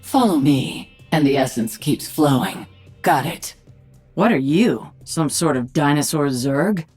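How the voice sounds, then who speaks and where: A woman playing a tough, commanding, sardonic warrior queen of an alien swarm in a video game, with a husky voice. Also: low-pitched, expressive, a little slow, darkish tone, faint music behind, heavily acted. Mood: confident, wry. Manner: commanding, dry sarcasm. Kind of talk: video game character acting.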